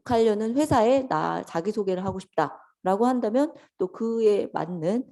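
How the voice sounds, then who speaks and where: A woman lecturer with a voice hoarse from a cold, politely explaining things step by step to students in a conversational lecture style. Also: mid-range voice, expressive, moderate pace, mellow. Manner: polite and instructional, addressing students directly. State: hoarse from a lingering sore-throat cold. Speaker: lecturer teaching an office software course.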